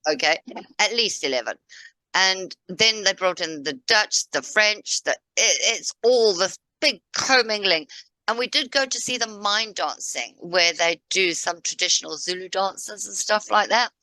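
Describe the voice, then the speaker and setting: A woman's voice: medium pitch, measured pace, bright, forceful tone, no room sound, low-quality recording. A woman from Africa, chatting casually as co-host and rambling through history while pointing at a map. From South Africa. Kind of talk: casual discussion.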